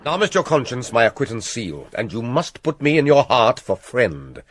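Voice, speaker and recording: Smooth plotting king, British accent, classical drama, measured pauses, very animated intonation. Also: medium pitch, dry recording. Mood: confiding, persuasive. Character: scheming king, smooth, persuasive, plotting murder. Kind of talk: classical Shakespearean stage-style acting.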